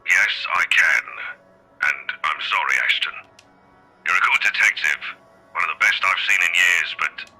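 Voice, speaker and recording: An actor playing a gruff, stern older police chief in a game, blunt and authoritative, through a phone filter. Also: male voice, deep voice, moderate pace, pushed and bright, faint music behind, full character voice. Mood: firm, regretful. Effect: phone call filter. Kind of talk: visual novel voice acting.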